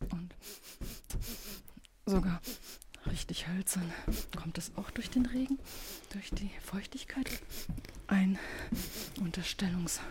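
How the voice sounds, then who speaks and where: A woman's voice: mid-range voice, takes it slowly, long pauses. A woman improvising spoken-word sound poetry, repeating and varying her phrases in a noisy field recording. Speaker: poet.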